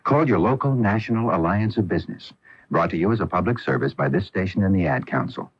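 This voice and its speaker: Deep-voiced male radio announcer. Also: expressive, fast talker, breathy edge, no room sound. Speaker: advert announcer. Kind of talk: scripted public service announcement. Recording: muffled radio broadcast with music.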